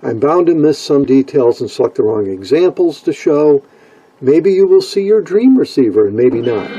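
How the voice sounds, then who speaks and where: An older man narrating informally from a script, with a husky, gravelly voice and wry, folksy asides. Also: fairly high pitch, very animated, moderate pace, dark tone, highs cut off, slight background noise. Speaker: hobbyist video narrator. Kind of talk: informal scripted narration about vintage radios.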